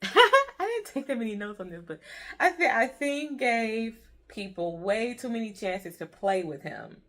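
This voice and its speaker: A woman's voice: a little high, very animated, a little slow, fairly bright tone. An American woman in her early thirties giving a casual book review in an informal, chatty way, repeating herself for emphasis. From Detroit, Michigan, USA.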